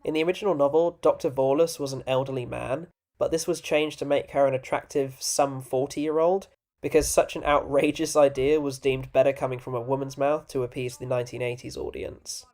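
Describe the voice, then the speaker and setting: A man's voice: mid-range voice, steady tone, brisk, darkish tone. Male reviewer narrating with wry asides. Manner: informal, opinionated, wry. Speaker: video essay reviewer and narrator. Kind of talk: scripted narration with casual opinion asides.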